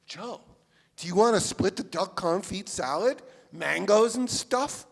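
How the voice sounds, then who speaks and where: Guy acting easygoing polite searcher, high pitched male voice, casual, stage play in echoey hall. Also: animated, unhurried, creaky, husky, full character voice. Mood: casual, inquiring. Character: easygoing, hungry, politely probing searcher for a missing friend. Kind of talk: live staged play reading. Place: theatre stage before a live audience. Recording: reverberant theatre hall, live stage.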